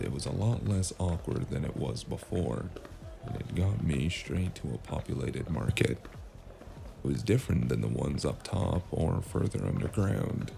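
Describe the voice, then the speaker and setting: A man's voice: low voice, vocal fry, heavily acted. A man playing a dry, weary, hesitant investigator in a cyberpunk noir audio drama. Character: male freelance investigator, dry, weary, awkwardly flirtatious noir loner. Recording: music behind the voice.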